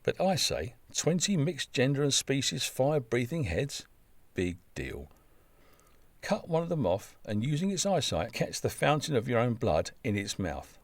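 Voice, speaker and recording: A man reading a scripted humorous travel story in a wry, droll manner with deadpan asides. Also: medium pitch, dry recording.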